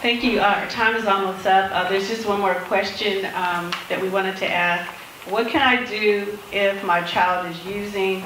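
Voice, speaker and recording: A woman's voice: medium pitch, fairly even intonation, unhurried, background noise. Woman moderating, distant in an echoey room. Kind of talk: reading audience questions to a panel.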